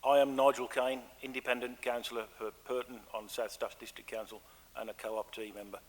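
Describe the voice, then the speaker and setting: An English man, a councillor, who speaks loudly with a projected voice and a joking manner. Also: mid-range voice, flat delivery, brisk. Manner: loud, projected voice; jokey. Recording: reverberant council chamber.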